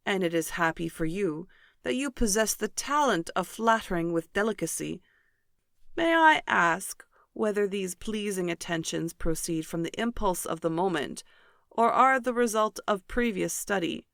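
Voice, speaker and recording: A woman reading the part of a composed, quietly mocking gentleman in a storybook, measured and dry. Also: medium pitch, lively intonation, vocal fry, dry recording. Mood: dryly amused. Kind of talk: audiobook character reading.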